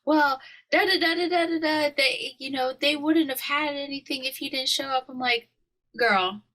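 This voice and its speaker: A woman's voice: high-pitched, moderate pace, rough recording. A young woman co-hosting a podcast, chatting casually and laughing often as she tells stories. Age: young adult. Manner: laughs often, animated storytelling. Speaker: podcast co-host. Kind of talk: casual chat.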